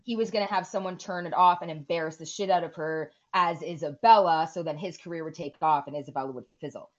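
A woman's voice: on the low side, fast talker, lo-fi sound. A woman in her forties, co-hosting a podcast and chatting casually, rambling and swearing freely. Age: 40s. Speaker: podcast co-host. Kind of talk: casual chat.

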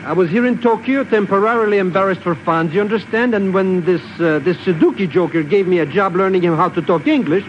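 An actor playing a wheedling, slangy, ingratiating American small-time hustler in a 1950s radio drama. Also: male voice, sits high, fairly quick, few pauses, close to an everyday voice. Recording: muffled, noisy old radio recording.

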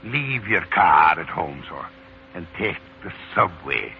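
Sly, deferential Irish leprechaun butler, theatrical and very animated, for vintage radio play on muffled old broadcast. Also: male voice, low-pitched, slow pace, slight background noise, heavily acted. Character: wily, servile old leprechaun posing as Irish manservant. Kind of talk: 1940s radio acting.

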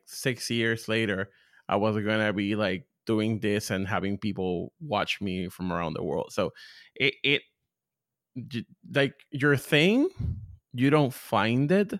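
A man's voice: lowish voice, fairly bright tone, dry recording, clean studio sound. A man in his thirties from Puerto Rico, a comedian guest talking casually and self-deprecatingly on a podcast. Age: 30s. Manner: self-deprecating, laid-back, rambling.

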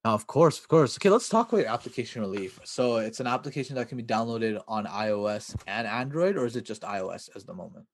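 A man hosting a podcast, friendly, reacting briefly and firing quick questions. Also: medium pitch, expressive, slight background noise. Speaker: podcast host. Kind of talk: casual podcast interview.

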